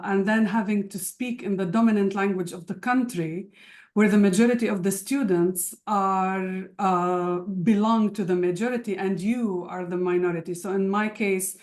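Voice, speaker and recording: A woman lecturer whose first language is Arabic, speaking on a virtual panel, thoughtful, with many pauses and restarts. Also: medium pitch, a little mellow. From Palestinian citizen of Israel. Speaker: university lecturer. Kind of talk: panel discussion.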